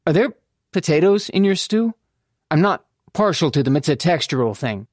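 A man's voice: fairly high pitch, very animated, normal speed, darkish tone, dry recording, clean studio sound, pitched above the performer's own voice. A man voicing a cheeky, flippant young man with a fussy drawl in an audiobook. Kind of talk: audiobook character dialogue.

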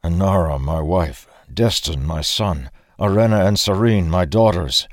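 A man's voice: low-pitched, unhurried, a little mellow, dry recording, clean studio sound. A man voicing a vengeful, bereaved revolutionary leader in a fantasy audiobook, snarling and harsh with bitterness. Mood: fuming.

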